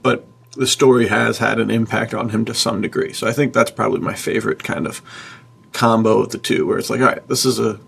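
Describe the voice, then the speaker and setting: A man chatting informally as a podcast co-host, thinking aloud in a husky, gravelly voice. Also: lowish voice, fairly even intonation, brisk, a bit bright, slight background noise. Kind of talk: casual chat.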